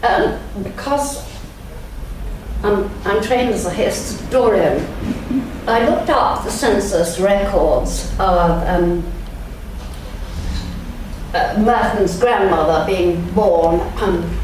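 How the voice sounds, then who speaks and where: An older Englishwoman gives a slow, reflective lecture and often pauses to search for words. Her voice booms around the vast church because the mic sits well away from her, and a faint hiss runs beneath her speech. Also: darkish tone. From England. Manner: slow, thoughtful delivery with frequent ums. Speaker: guest lecturer. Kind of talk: church lunchtime lecture, reading quotations aloud. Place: large church. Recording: very echoey church, speaker heard from a distance, faint background noise.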